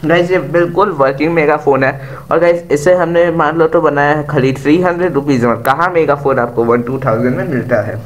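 A man's voice: on the high side, brisk. An Indian man giving a casual hands-on DIY demonstration to viewers, mixing English words into his speech, recorded on a camera's built-in mic. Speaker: DIY tutorial host. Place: indoor room, sitting on a bare floor. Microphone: camera's own built-in microphone.

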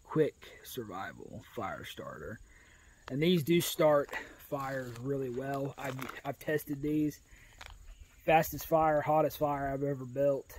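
A man's voice: medium pitch, a little mellow, slight background noise, low-quality recording. An American man, around his thirties, casually reviewing gear outdoors in a folksy, conversational way. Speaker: outdoor video host. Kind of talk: casual product review to camera. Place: outdoors in a grassy rural yard.

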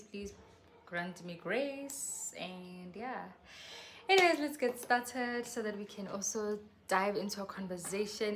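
A young woman from South Africa talks straight to the camera in a soft, mid-pitched voice. She is chatty and earnest and keeps calling her viewers "guys." She is recorded close on the camera mic on an outdoor patio, with a faint hum of ambience behind her. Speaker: YouTuber. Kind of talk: casual vlog chat to camera. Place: covered patio outside a house.

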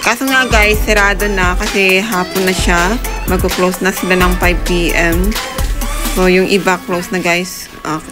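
A woman's voice: on the low side, level tone, a little slow, over music. A woman narrating a casual travel vlog, chatty and addressing her viewers as guys. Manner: chatty, keeps saying "guys". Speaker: travel vlogger.

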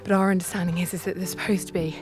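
A woman's voice: fairly low pitch, flat delivery, very fast. A woman in her thirties, talking to a phone camera held at arm's length outdoors on a mountain trail, telling the story of her hike in a chatty, upbeat way. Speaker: travel vlogger. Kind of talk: hiking vlog narration. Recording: music in the background.

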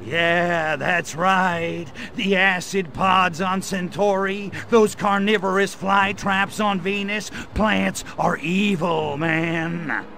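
An actor playing a cocky, wisecracking male alien invader with a gravelly, slangy drawl in a video game. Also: sits high, fairly even intonation, slow pace, hardly any pauses, bright, forceful tone, a little hiss or hum, heavily acted. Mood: ranting. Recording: game audio with faint music.

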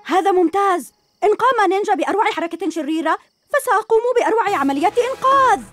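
An earnest little boy cat superhero, voiced by a woman, who speaks up for doing the right thing. His voice is very high and quick, over light cartoon music. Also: lively intonation, fairly bright tone. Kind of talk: cartoon dub acting.

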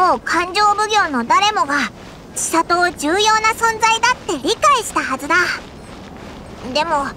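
A woman's voice: very high voice, bright, forceful tone, slight background noise, heavily acted. A woman voicing a small, cheeky, eager fairy companion in an anime game, talking in a brash, boyish, casual way.

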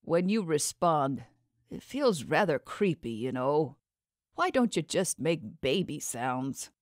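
A grumbling male stone carver, put on by a woman narrator talks to a baby in a fantasy audiobook. He is gruff and dry, muttering in a low pitch, recorded dry and close. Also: very animated, slow, dry recording, heavily acted. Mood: puzzled, muttering.

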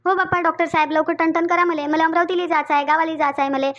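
Woman playing a high-pitched, grumbling village granny. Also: fairly even intonation, fast talker, fairly bright tone, highs cut off. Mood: complaining, pleading. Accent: Varhadi, Vidarbha Marathi. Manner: grumbling, rustic, repetitive. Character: stubborn, complaining old village grandmother, sick from heat. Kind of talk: cartoon dialogue.

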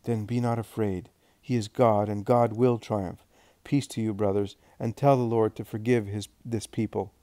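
Brief: kind old woman, read by a man, soft and quiet, dry close sound. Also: fairly low pitch, fairly even intonation, normal speed, no room sound, natural voice. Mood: gentle. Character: gentle elderly woman, compassionate.

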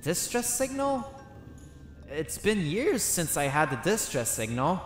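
A man voicing his character in a survival game roleplay, excitably thinking aloud to himself. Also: fairly high pitch, unhurried, soft background music.

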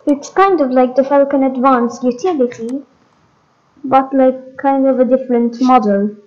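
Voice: high-pitched, unhurried, darkish tone. A gaming host giving a casual walkthrough of game updates, hesitant and thinking aloud, on a dull lo-fi microphone.

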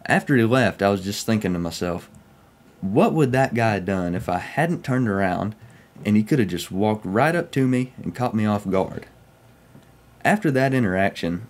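A young man from Oklahoma with a Southern US accent, casually telling first-person railfan stories in a folksy way. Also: lowish voice. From Oklahoma, United States. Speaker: railfan vlogger. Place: small room at home, indoors.

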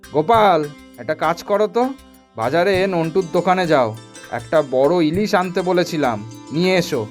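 A man's voice: fairly high pitch, lively intonation, normal speed, faint music behind, lightly acted. A man voicing a courteous, worried husband in a cartoon, speaking in a polite, familiar way. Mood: grateful, friendly. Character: worried, courteous middle-class husband caught in a storm. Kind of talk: Bengali cartoon voice acting.

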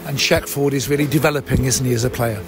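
Interviewer asking short questions. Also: male voice, mid-range voice, steady tone, background noise. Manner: brief, measured questions. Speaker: club interviewer. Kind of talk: post-match interview questions.

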